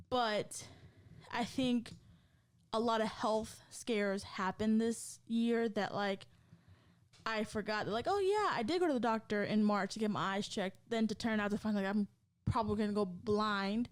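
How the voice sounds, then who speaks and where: Woman, 30s, podcast co-host, casual rambling chat, frequent laughs, fairly high voice. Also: clean tone. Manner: chatty and rambling, many fillers, laughs often.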